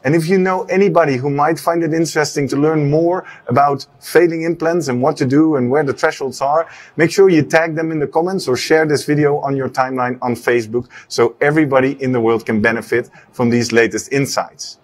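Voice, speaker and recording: A man in his thirties hosting a live congress broadcast, quick and upbeat with many fillers, on a lapel mic in a studio set. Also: on the high side, fairly even intonation. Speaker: congress host. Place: studio set with a long desk.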